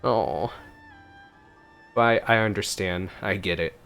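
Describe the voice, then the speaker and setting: A man's voice: sits low, takes it slowly, creaky, fairly bright tone. A man giving casual gameplay commentary as a streamer, laid-back with wry asides. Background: game music.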